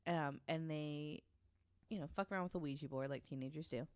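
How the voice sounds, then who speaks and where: A woman's voice: low-pitched, a little fast, a little mellow, clear, resonant tone, no room sound. An American woman on a podcast panel, telling a story in a casual, rambling, self-correcting way.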